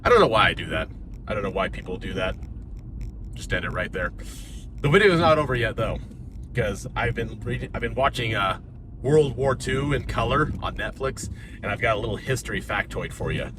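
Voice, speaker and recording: A man's voice: on the low side, lively intonation, fairly quick. An American man, a fitness coach, talking casually in a vlog, rambling, joking and stuttering as he thinks. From United States. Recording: noisy with car sounds behind.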